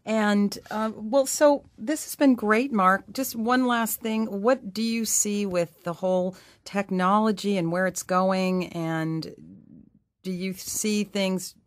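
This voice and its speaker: A woman's voice: medium pitch, steady tone, slow pace, dry recording. A woman hosting a casual podcast interview, asking questions conversationally and clarifying things for listeners.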